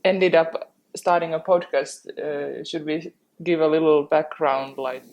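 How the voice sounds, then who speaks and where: A woman's voice: sits low, fairly even intonation, a little slow, a little mellow. A woman from Finland with a Finnish accent, casually explaining and chatting as a podcast co-host.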